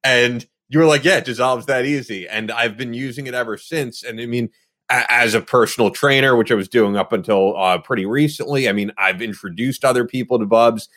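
A man's voice: mid-range voice, brisk, fairly bright tone. Enthusiastic podcast host in his thirties. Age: 30s. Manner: enthusiastic product endorsement. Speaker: podcast host, former personal trainer. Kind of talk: casual podcast conversation.

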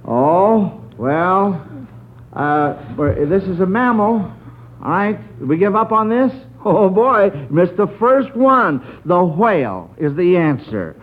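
A high-pitched American man works as a quizmaster on old-time radio. He talks with jovial, folksy showmanship, and the recording is dull and narrow like a vintage broadcast. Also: big pitch swings, slow. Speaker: radio quiz show host.

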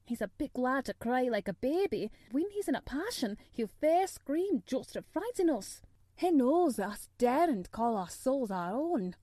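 A fretful young maidservant with a broad Yorkshire accent, as a woman reader puts her on, her voice pitched up high and loud with alarm. The tone stays warm and mellow, bouncing up and down, close and dry with no room sound. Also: very animated, measured pace, no room sound, heavily acted. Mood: incredulous. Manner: fretful, exclaiming broad dialect. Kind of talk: audiobook character voice.

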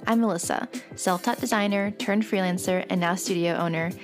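A woman's voice: medium pitch, a little fast, soft background music. A woman in her twenties hosting her own podcast, talking in a friendly, informal, chatty way with frequent fillers. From Oklahoma, USA. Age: 20s. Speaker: podcast host. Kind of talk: casual solo podcast chat.

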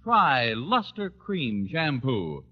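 A man's voice: mid-range voice, very animated, drawn-out words. A man reading a vintage radio commercial as a polished sales announcer. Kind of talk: 1940s-50s radio ad read. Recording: muffled old radio recording.

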